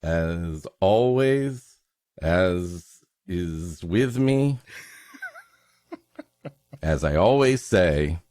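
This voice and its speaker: A man's voice: low-pitched, expressive, very slow, pauses often, darkish tone, dry recording, studio quality. A man hosting a podcast with comedic banter and story introductions, playfully mock-dramatic in his delivery. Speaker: podcast host.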